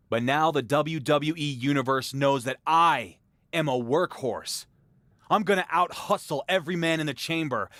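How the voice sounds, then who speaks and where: A man's voice: on the high side, a bit bright, no echo. A man playing a confident, defiant pro wrestling champion in a video game cutscene, talking with cocky determination. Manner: cocky, determined promo delivery.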